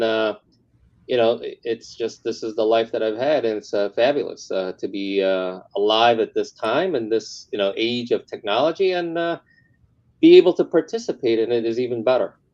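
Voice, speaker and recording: A man's voice: mid-range voice, darkish tone. Hesitant male founder on a muffled line. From Korea, raised in New York City. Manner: hesitant, many uh and um fillers. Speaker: tech company founder, guest. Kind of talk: interview answers on a remote call.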